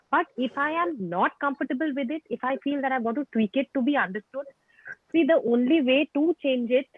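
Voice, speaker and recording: A woman's voice: a little high, slightly rough, no echo. Bengali-accented woman on a tinny call. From India. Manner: frank, emphatic, repeats phrases. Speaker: guest speaker on communication skills. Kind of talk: conversational advice on a video call. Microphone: video call. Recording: tinny phone-like call audio, dry.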